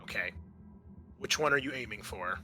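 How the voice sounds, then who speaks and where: Guy game mastering, bright voice, casual and sweary, bit of music behind him. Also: lowish voice, lively intonation, moderate pace. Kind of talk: casual game narration and rules talk.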